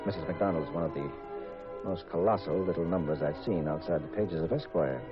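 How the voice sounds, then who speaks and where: An actor playing a wry, smooth male private detective in a 1950s radio drama, casual and confiding. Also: low voice, brisk, over music, close to an everyday voice. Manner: casual, hesitant, wryly confiding. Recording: dull vintage radio recording.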